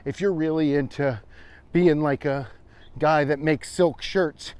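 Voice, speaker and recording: A man's voice: fairly high pitch, fairly even intonation, unhurried, a little mellow, smooth, dry recording. An American man, around his forties, chatting casually and irreverently as he hosts a rambling comedic walking vlog, swearing freely. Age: 40s. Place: outdoors, walking on a trail.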